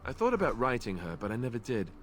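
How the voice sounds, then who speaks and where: A man's voice: mid-range voice, big pitch swings, fast, slight background noise, lightly acted. An actor playing an awkward, self-deprecating young male knight in a game, talking in a hesitant, rambling way. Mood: earnest. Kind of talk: game character dialogue.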